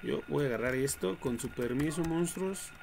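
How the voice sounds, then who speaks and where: A young man with a Mexican accent giving casual, slangy, foul-mouthed commentary on a horror game livestream, reacting out loud as he plays. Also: a little high, moderate pace, gravelly. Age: young adult. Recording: noisy home livestream with clicks and typing.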